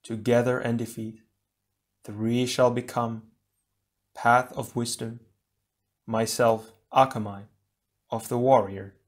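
A mysterious, solemn voice from a vision, as a man puts it on. It speaks very slowly in broken fragments. It is done as a figure in a read-aloud adventure book. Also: mid-range voice, little pitch movement, close to an everyday voice.

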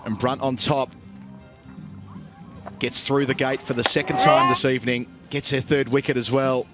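A man's voice: medium pitch, level tone, normal speed, over music. Male cricket commentator calling live play.

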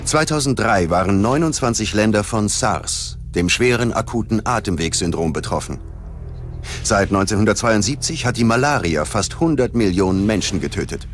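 A man narrating a scripted documentary in a dramatic, ominous and measured way. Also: lowish voice, animated. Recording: music under the voice. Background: prominent background music.

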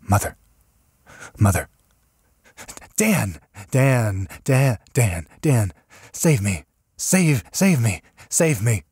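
A man's voice: medium pitch, very animated, extremely slow, pauses often, darkish tone, husky, no echo, heavily acted. A terrified, raving madman. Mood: desperate pleading. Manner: feverish, repetitive, broken phrases. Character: terror-stricken man raving in madness about cosmic horrors.

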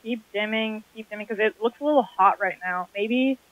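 A woman's voice: fairly high pitch, normal speed, a bit bright. A woman in her twenties chatting casually on a film set through a dull radio intercom headset. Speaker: filmmaker. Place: dim room indoors. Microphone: headset intercom mic.

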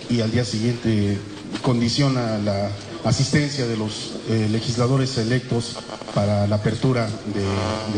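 Mexican radio reporter, stumbling and restarting, echoey press room. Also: male voice, mid-range voice. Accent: Mexican Spanish. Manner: halting, with many restarts and fillers. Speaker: radio reporter asking a question. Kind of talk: press conference question. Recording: echoey hall with background noise.

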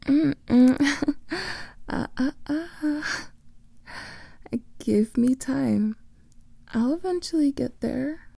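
A young woman voicing a teasing female vampire lover in an ASMR roleplay, whispering softly close to the microphone. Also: sits high, extremely slow, pauses often, no room sound, exaggerated voice. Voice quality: breathy whisper with vocal fry.

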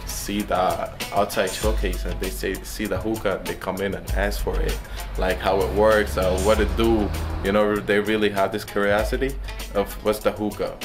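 Low-voiced young man in a small shop. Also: flat delivery, moderate pace, music in the background. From Lebanon. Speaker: hookah shop owner. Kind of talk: documentary interview.

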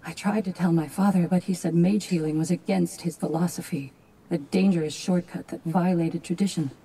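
An actress playing a composed Valkyrie warrior in a video game, telling her story in a husky, measured, reflective voice. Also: lowish voice, steady tone, dark tone, poor audio. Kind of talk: dramatic game narration.

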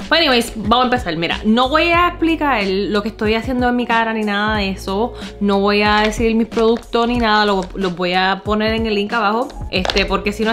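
A woman's voice: mid-range voice, fairly bright tone. A woman in her twenties from Puerto Rico, with a Puerto Rican accent, telling personal stories in casual, slangy talk mixed with English words. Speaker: vlogger. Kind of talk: casual chat, personal storytelling. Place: living room at home.

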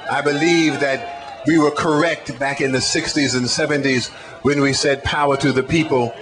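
A man in his sixties speaking deliberately and emphatically at an outdoor rally through a handheld microphone. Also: medium pitch, steady tone. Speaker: activist speaker. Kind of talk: rally speech. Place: outdoor city street stage.

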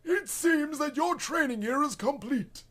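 Brief: old alien guardian man acting, very high, formal, polite, uneasy, cartoon parody. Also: animated, unhurried, a bit bright, exaggerated voice. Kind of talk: anime parody dub acting.

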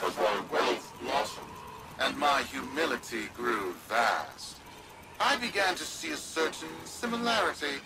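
Game villain: grandiose corporate zealot, theatrical and self-important, slow delivery with big swings in pitch. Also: male voice, big pitch swings, very noisy. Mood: smug, self-mythologizing. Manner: pompous, theatrical, oratorical pauses. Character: pompous, grandiose corporate zealot, smug would-be heir. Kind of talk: video game villain speech.

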